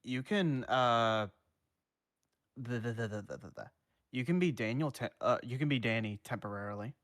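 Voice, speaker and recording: A man reading a fanfic aloud on a stream, halting and often stumbling and restarting his words. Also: mid-range voice, a bit bright, dry recording. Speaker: fanfic reader.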